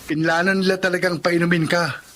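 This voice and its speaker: An honest, reassuring young man in a radio drama. His voice is fairly high, over faint noise. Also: level tone, a little fast, pushed and bright. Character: Warren, reassuring honest teenage boy, later suitor. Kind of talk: Filipino radio drama acting.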